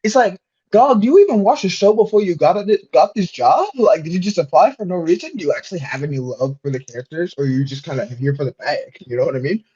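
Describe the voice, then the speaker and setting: High, husky, animated man on lo-fi mic. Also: quick pace, hardly any pauses. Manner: emphatic, slangy, swears freely. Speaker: podcast panelist discussing films. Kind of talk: casual chat.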